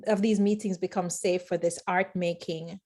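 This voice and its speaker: A woman hosting an interview, thoughtful and hesitant, often pausing with fillers as she shapes her ideas. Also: on the low side, steady tone, dark tone. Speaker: host. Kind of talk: interview conversation.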